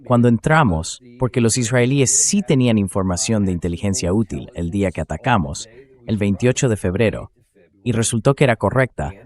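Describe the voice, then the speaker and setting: A man's voice: fairly low pitch, a little mellow. A man's synthetic dubbing voice delivering spoken political commentary as the host of a show.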